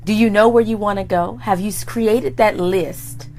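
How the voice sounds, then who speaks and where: A woman with a hoarse voice hosting a live motivational talk, greeting viewers by name and exclaiming with excitement. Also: medium pitch, moderate pace, slight background noise. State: hoarse, deeper voice than usual. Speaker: host and life coach.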